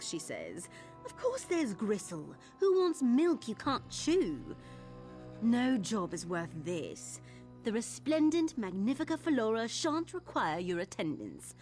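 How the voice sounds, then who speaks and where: A woman's voice: mid-range voice, darkish tone. A woman playing a disgruntled, sardonic palace servant in a video game. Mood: grumbling, scornful. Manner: grumbling, sardonic. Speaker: game character actor. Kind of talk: video game character acting. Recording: music behind the voice.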